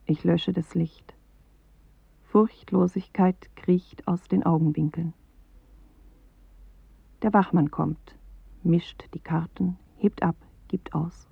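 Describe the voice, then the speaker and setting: A woman performing a pensive narrator in a poetic radio drama, speaking in measured, deliberate fragments on a muffled 1980s recording. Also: lowish voice, steady tone, creaky, breathy edge, no room sound, full character voice. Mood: pensive, grave. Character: pensive female narrator of a dreamlike inner journey.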